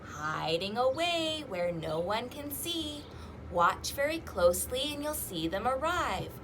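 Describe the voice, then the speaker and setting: A woman hosting a children's storytime, reading rhymes and picture books aloud in an animated, sing-song, exaggerated way. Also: on the high side, slow pace, a little hiss or hum. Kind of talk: interactive children's read-aloud with rhymes and fingerplay.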